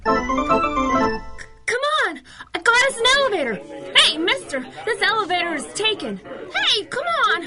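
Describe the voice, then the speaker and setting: A woman's voice: very high-pitched, sing-song, a little slow, long pauses, over loud music, exaggerated voice. A woman playing an eager, cocky young shoeshine boy with brash streetwise slang in a 1930s-style radio adventure. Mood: excited, urgent.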